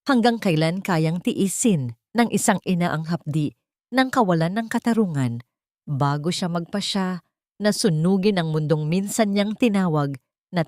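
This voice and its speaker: A woman narrates a dramatic tale. Her voice sits somewhat low, and the recording is dry, with very little room sound. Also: darkish tone, no room sound. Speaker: story narrator. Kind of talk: dramatic scripted story narration.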